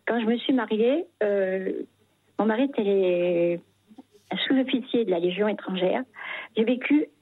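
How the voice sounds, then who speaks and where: A woman's voice: medium pitch, no echo. Older woman on a muffled phone line. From Paris, France. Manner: halting, phrases broken into short pieces. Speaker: phone-in caller. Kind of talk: personal testimony, reminiscing. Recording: muffled telephone line, husky sound.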